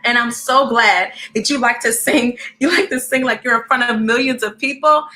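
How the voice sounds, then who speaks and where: Higher pitched lady doing a kids storytime, animated n encouraging, bit of music in the background. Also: normal speed. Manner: animated, encouraging, addresses children directly. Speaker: children's read-aloud host. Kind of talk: expressive storybook reading for children.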